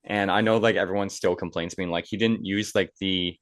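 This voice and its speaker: A man with a very deep voice hosts a casual film discussion. He sounds enthusiastic and opinionated, speaking conversationally. The recording is dry and close, with very little room sound. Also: fairly even intonation, fairly quick, no room sound. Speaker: podcast host.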